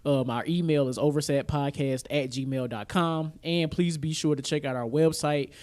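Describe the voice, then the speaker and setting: A man's voice: a little high, fairly even intonation, moderate pace, a little mellow. A man co-hosting a podcast in casual chat, with an informal, slangy, easygoing way of talking. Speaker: podcast co-host. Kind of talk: casual chat about pop culture.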